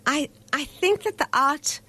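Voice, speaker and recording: A middle-aged woman from South Africa, a festival director interviewed on radio, speaking in a measured, articulate way. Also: high-pitched, pushed and bright. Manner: measured, articulate, spells words out carefully.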